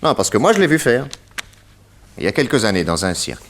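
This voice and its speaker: An actor playing a shrewd, confident detective in a French radio mystery play, courteous and deliberate as he explains and probes. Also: lowish voice, sing-song, slight background noise. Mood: assertive, explanatory. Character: shrewd, theatrical male detective, confident and probing.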